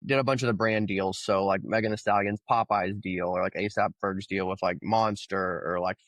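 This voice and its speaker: A low-voiced man in his thirties from Oklahoma talks as a podcast guest. He rambles through his story with lots of ums and likes. The sound is dry and close. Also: normal speed, no room sound. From Oklahoma, USA. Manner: relaxed, rambling storytelling with many fillers. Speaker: guest, crypto founder. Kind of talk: casual conversational interview.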